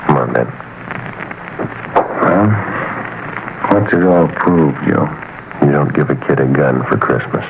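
Weary radio cop: bottom-register, slow, deadpan, buried in heavy recording noise. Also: male voice, a bass voice, creaky, exaggerated voice. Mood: somber. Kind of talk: deadpan radio police drama acting. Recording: very noisy old radio recording.

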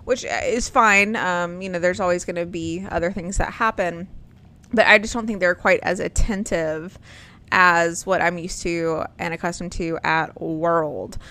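A woman's voice: fairly low pitch, steady tone, a little slow, bright, forceful tone. A woman, hosting a casual chat and rambling with lots of ums and likes.

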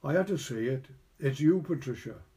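A man's voice: on the high side, expressive, moderate pace, warm tone, close to an everyday voice. A man playing a defensive, pleading young sweetheart. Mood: pleading, exasperated.

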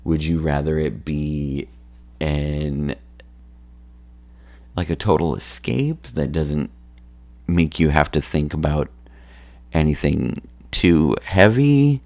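Male podcast host, tired and phlegmy, gravelly fry, unhurried loose delivery. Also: animated, darkish tone, dry recording. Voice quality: gravelly, low voice. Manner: slow, rambling with many ums and restarts. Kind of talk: solo podcast chat.

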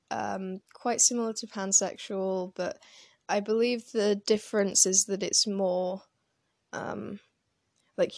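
A woman who grew up in France speaks slowly and unhurriedly into her phone, her voice sitting at a middle pitch. She rambles a little, pausing and reaching for her words as she goes, and the sound is dry and close with no room around it. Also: darkish tone, no room sound. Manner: hesitant, rambling, many pauses and fillers. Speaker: vlogger. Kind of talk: casual personal talk to camera.

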